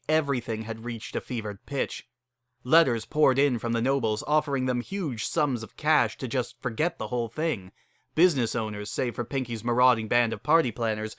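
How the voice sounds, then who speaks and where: A man narrates a story in a dramatic reading style. His voice is mid-pitched, bright and forceful, recorded dry without room sound. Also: no echo.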